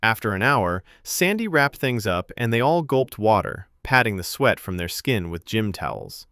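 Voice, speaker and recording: A man's voice: on the low side, very animated, normal speed, fairly bright tone, no room sound, clean studio sound. A man reading scripted fiction narration as a storyteller.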